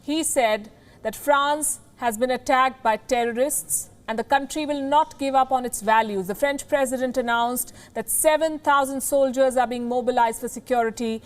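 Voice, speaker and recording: High-pitched woman news anchor, emphatic. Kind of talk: scripted news narration.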